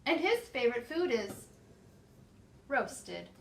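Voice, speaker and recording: A woman voicing a small, clever brown mouse in a storybook, polite and calmly mock-serious. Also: a little high, slow, creaky, reverberant, distant mic, exaggerated voice.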